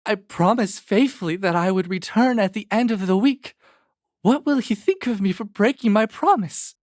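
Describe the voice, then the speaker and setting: A man's voice: normal speed, no echo, studio quality, natural voice. A man voicing a tender, remorseful young woman in a storybook, lamenting earnestly. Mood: guilty, distressed. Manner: high-pitched, earnest lamenting. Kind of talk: audio storybook character acting.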